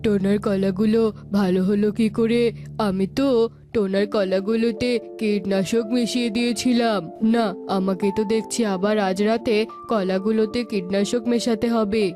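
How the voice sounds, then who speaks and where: A woman's voice: mid-range voice, fairly even intonation, normal speed, lightly acted. A woman voicing a jealous, scheming parrot in a children's cartoon. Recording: music behind the voice.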